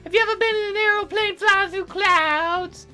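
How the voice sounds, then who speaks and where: Very high girlish fairy. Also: fairly even intonation, moderate pace, pushed and bright, faint music behind. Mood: earnest, matter-of-fact. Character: haughty, dainty cloud fairy girl, earnest and proud.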